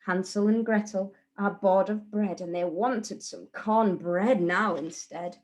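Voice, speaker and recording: A woman reading a rhyming children's story in a deliberate, sing-song way, as a teacher would at story time. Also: medium pitch, darkish tone.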